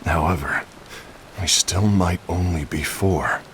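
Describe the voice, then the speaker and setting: A man's voice: low-pitched, slow pace, a bit bright, slight background noise, exaggerated voice. A man playing a proud, bitter warrior in a dramatic video game cutscene, brooding and emphatic. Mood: wry, resentful. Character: gruff, proud, bitter male warrior and comrade. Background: music.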